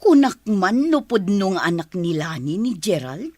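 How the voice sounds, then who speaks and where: A woman's voice: mid-range voice, big pitch swings, normal speed, darkish tone. A woman playing a middle-aged mother talking with her daughter in an Ilocano radio drama. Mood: curious, uneasy.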